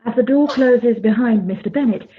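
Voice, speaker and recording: A woman's voice: a little high, normal speed. A woman narrating a radio play, reading out scripted stage directions over a thin, phone-like connection. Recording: thin phone-like sound, faint music.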